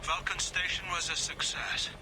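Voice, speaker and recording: Anxious scientist, noisy radio. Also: male voice, sits high, steady tone, moderate pace. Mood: urgent, grave. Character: anxious, earnest male scientist in charge of a failing experiment. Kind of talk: scripted sci-fi game acting over radio.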